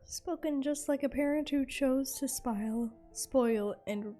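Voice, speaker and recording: High, mellow-voiced woman streaming a game. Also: unhurried. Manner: goofy, playful asides. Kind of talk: casual reactions while streaming a game. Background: game music.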